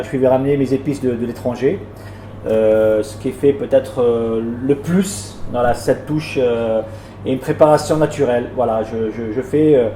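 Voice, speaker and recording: A man with a mellow, dark voice answers interview questions about his work. He stumbles and repeats words as he feels his way through each thought. The room echoes around him, with faint noise behind. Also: mid-range voice. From France. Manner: hesitant, repeats words often, warm and plain. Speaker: restaurant owner.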